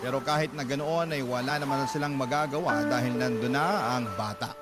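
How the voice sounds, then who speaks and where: A man's voice: medium pitch, brisk. A man narrating an earnest, confessional letter-style story in a scripted drama, with music underneath. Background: music bed.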